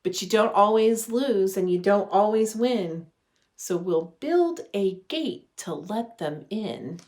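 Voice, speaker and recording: A woman in her thirties reads a picture book aloud for children. Her pace is slow and deliberate, at an average pitch, with gentle phrasing. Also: expressive. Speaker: religious education director reading a children's story. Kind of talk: storybook read-aloud. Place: living room at home.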